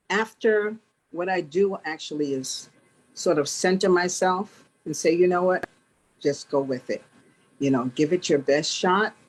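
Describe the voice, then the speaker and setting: A woman giving casual interview answers as a guest, in a measured, plainspoken way. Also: lowish voice, low-quality recording. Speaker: interview guest, stage manager.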